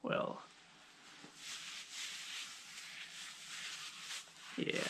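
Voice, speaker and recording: A man voicing a smug, menacing scientist villain in an ASMR roleplay, gravelly and husky, dropping toward whispers, with theatrical taunting pauses. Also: medium pitch, bright, forceful tone, slight background noise, exaggerated voice. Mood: coldly threatening.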